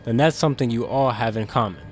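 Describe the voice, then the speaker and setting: A male actor voices a guarded demon who carries his guilt into every word. He is earnest and quick to defend himself, in a middling male pitch. It is audio drama, with music playing behind him. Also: natural voice. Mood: discreet, earnest. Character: guarded, conscience-stricken demon insider smuggling secrets to captive.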